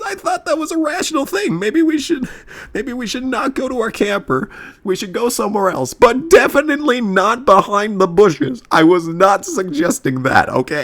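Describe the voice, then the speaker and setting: A man's voice: very high voice, a bit bright. A man telling a casual, profane comedic story in a rambling way, often repeating himself and stammering. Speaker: storyteller and video creator.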